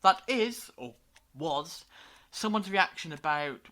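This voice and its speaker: A woman playing a brainy time-travelling alien in a fan audio drama, lecturing gravely in deliberate monologues with dramatic pauses. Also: fairly low pitch, expressive, a bit bright. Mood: explaining intently.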